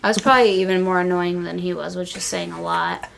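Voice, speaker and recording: A woman's voice: on the low side, fairly even intonation, quick pace, creaky, fairly bright tone, slight background noise. Casual young woman telling stories. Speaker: podcast co-host. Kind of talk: casual chat about pro wrestling.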